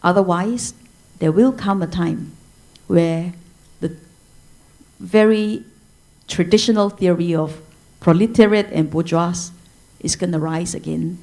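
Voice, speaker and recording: A woman with a fairly low, mellow voice speaks on a panel. She drives her argument with forceful statements that repeat the same opening. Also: unhurried, pauses often, rough recording. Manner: forceful, repetitive declarations. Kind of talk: panel talk.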